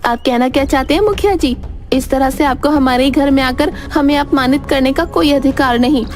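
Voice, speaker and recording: A woman's voice: sits high, moderate pace, soft background music, close to an everyday voice. A woman playing a cunning, boastful village wife who talks in a sly, pushy, persuasive way. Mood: indignant.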